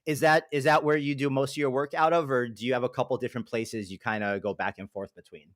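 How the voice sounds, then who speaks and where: A man's voice: mid-range voice, fairly even intonation, fast, a bit bright, dry recording, clean studio sound. A young man hosting a casual livestream, upbeat and chatty, with lots of fillers. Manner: upbeat, greets chat, filler-heavy. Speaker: livestream host.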